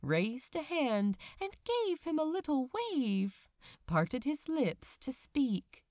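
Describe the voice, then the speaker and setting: A woman reading fiction aloud as an audiobook narrator, with dramatic, deliberate phrasing. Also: high-pitched, sing-song, darkish tone, no room sound, clean studio sound. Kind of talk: expressive scripted story narration.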